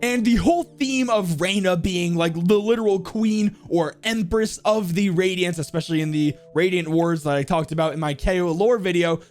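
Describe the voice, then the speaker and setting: A man's voice: sits high, a little mellow. A man hosting a video game ranking with casual, enthusiastic, rambling commentary full of slang. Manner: rambling, uses lots of slang. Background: faint music.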